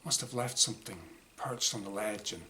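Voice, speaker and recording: A man reading his own first-person short story dramatically, in clipped diary-like phrases with pauses. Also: on the low side, pushed and bright. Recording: clearly noisy room ambience.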